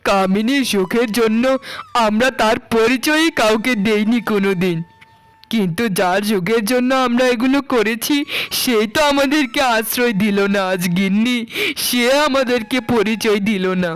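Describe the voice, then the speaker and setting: A man's voice: very high voice, moderate pace, a bit bright, clean tone, poor audio. A man playing a regretful, ruined older father. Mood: bitter regret. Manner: lamenting. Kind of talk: melodramatic cartoon voice acting.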